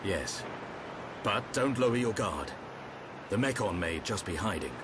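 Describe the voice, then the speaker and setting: An actor playing a resolute, composed warrior leader in a video game, grave and commanding. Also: male voice, on the low side, lively intonation, unhurried, noisy background. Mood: wary. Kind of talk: video game cutscene acting. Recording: music under the voice.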